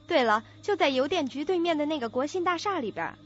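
An actress playing a moody, self-deprecating young office intern in a Chinese radio drama. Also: female voice, sits high, expressive, fairly quick. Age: young adult. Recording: dull lo-fi recording.

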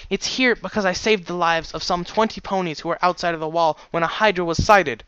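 A man's voice: a little high, fairly quick, bright, forceful tone, no room sound, dull audio, voice pushed up. A man voicing a stern, embittered stallion father with an accusing manner in a storybook reading. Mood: furious. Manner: angry, cold, accusing.

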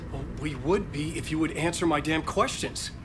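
An actor playing a haunted, desperate middle-aged horror writer in an intense, troubled video game performance. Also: male voice, mid-range voice, fairly even intonation, moderate pace, background noise, lightly acted. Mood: agitated, frustrated. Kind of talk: video game drama, introspective narration. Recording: music behind the voice.

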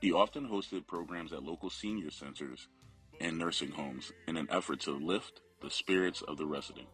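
Very deep-voiced man reading a biography. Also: normal speed, fairly bright tone, soft background music. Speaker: family member reading a memorial biography. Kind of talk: scripted reading of a memorial biography.

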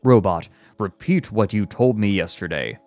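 A man's voice: lowish voice, expressive, normal speed, a little mellow, no echo, faint music behind. A man voicing a sardonic, hot-tempered male mathematician in an audiobook, brusque and demanding. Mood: angry. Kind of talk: audiobook character voice.

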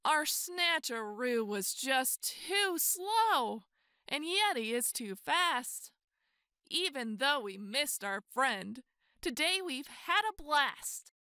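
A woman reading a children's picture book aloud in an expressive, exclaiming way with drawn-out emphasis. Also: high-pitched, no echo, clean studio sound. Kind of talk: animated children's read-aloud.

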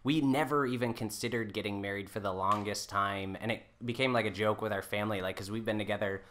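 A man's voice: on the low side, steady tone, fast, fairly bright tone, a little hiss or hum. A man in his twenties chatting casually as a guest in an interview. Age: 20s. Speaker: podcast guest, folk musician.

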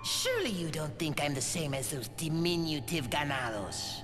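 A man as high, pompous little villain lord. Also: lively intonation, normal speed, natural voice. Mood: haughty, indignant. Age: 20s. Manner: pompous, theatrical, mannered. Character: haughty, sinister, childlike young castellan, male, twenty. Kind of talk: video game villain acting. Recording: loud music under the voice.

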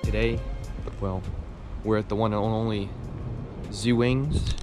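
A man in his twenties with a lowish voice talks casually to the camera. He is slangy and freely swears, bantering as he goes. Music plays clearly behind him. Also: steady tone, slow. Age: 20s. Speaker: host of a car DIY vlog. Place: driveway and home or workshop garage.

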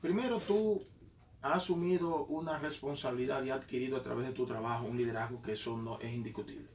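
A man's voice: medium pitch, quick pace, a little mellow, echoey room, distant mic, slight background noise. A man hosting a radio talk show, teasing his guest and then lecturing in long questions. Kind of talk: radio studio interview. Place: radio studio.

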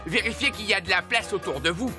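A man's voice: high voice, quick pace, over music, natural voice. A man voicing a good-natured, clumsy male cartoon dog in French, bumbling and eager. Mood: goofy, eager. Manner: bumbling, hesitant, interjection-filled. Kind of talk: children's cartoon acting in French.

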